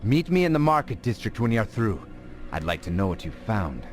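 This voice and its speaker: An actor playing a friendly, conspiratorial resistance informant in a video game. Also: male voice, on the low side, very animated, moderate pace, faint music behind. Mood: confiding. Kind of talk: dramatic video game acting.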